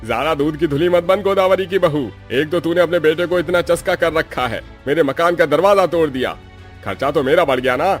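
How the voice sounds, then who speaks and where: A man's voice: on the high side, fairly quick, faint music behind, lightly acted. A man voicing a grumpy, bullying landlord in a cartoon story, scolding and blustering. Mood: scornful, threatening. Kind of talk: Hindi cartoon story acting.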